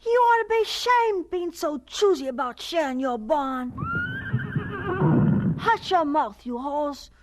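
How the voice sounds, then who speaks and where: A timid, earnest farm boy of ten in a radio play, with a very high, slow voice and a Southern drawl. He talks in halting, folksy phrases, over some background noise. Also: animated, poor audio. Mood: hushed, weary. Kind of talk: radio drama acting.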